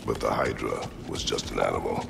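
A man playing a brooding, guilt-ridden warrior in a video game, speaking gravely and tersely with a gravelly voice. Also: brisk, very noisy, heavily acted. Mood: grave remorse. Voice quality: very deep, gravelly. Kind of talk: dramatic video game acting.

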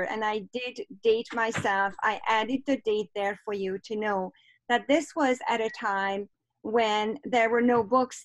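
A woman's voice: on the high side, dry recording, poor audio. Hungarian-accented woman, middle-aged, encouraging webinar presenter, dry close sound on a video call. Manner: warm, encouraging, reads out chat replies. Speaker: professor leading a webinar. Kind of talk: online teacher workshop presentation. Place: home office on a video call. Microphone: computer mic on a video call.

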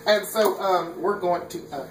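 A woman joking and teasing in a home kitchen, sassy and loud with slangy asides. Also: on the low side, a little slow, distant mic. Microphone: phone camera held nearby. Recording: echoey noisy phone video.

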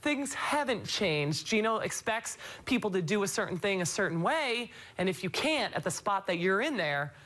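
A woman's voice: mid-range voice, sing-song, normal speed, few pauses, a bit bright. A woman in her forties, a former player giving enthusiastic, emphatic post-game analysis in a TV studio on a lapel mic.

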